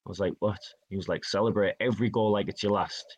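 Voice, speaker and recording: A man, telling stories from his career in a relaxed interview, often acting out what others said to him. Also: lowish voice, fast. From Northeast England. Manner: chatty, self-deprecating, quotes others in dialogue. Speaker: guest, hockey player. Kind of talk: casual interview storytelling.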